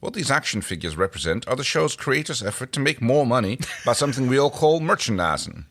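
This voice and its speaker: A man's voice: mid-range voice, very animated, quick pace, a bit bright. A man voicing a wry human astronaut who patiently explains things with a drawl in a story reading. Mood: explaining.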